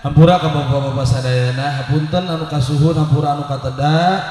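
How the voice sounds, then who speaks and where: A man's voice: a little high, fairly even intonation, brisk, a little mellow. A man leading an Islamic gathering as its preacher, in praise, prayer and address to the congregation. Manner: apologetic asides to the audience when talking. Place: mosque event, over loudspeakers. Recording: very echoey hall, music behind.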